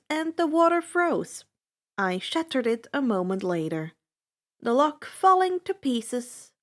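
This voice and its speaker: Woman, storytelling host, expressive amateur audiobook narration, slow pace, animated pitch, theatrical delivery. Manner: theatrical, acts out dialogue. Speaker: host reading fan fiction aloud on own channel.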